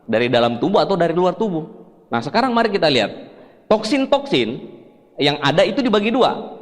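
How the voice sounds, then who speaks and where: A man's voice: sits high, sing-song, brisk. A man from Sulawesi, Indonesia, giving a health seminar in an explanatory, conversational way, putting questions to his listeners. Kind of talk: presentation to an audience. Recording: echoey hall with long reverb.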